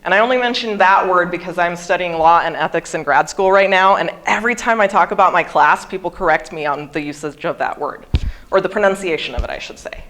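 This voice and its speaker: Low-voiced woman giving a careful, deliberate talk. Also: a bit bright. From Hawaii. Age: 40s. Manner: careful, over-articulated pronunciation. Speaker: guest speaker. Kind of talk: prepared seminar talk.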